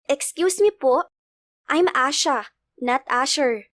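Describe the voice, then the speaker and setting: A woman's voice: high voice, slow pace, a bit bright, dry recording, clean studio sound. A woman voicing a sweet, scared little girl in a storybook narration, whiny and pleading. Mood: polite, confused. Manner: whiny, polite childlike pleading.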